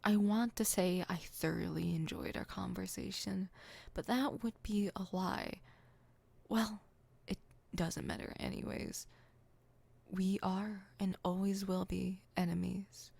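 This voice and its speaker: A woman's voice: medium pitch, steady tone, measured pace, gravelly, a little mellow, husky, dry recording, full character voice. A woman playing a theatrical, poetic supervillain in an ASMR roleplay, haughty and dramatic, stammering when flustered. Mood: cold, dismissive.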